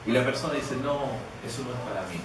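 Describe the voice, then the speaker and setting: A man's voice: mid-range voice, fast. A man preaching a sermon, telling a personal story in a conversational way with many restarts and repeated words. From Canada. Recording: echoey, distant, noisy room.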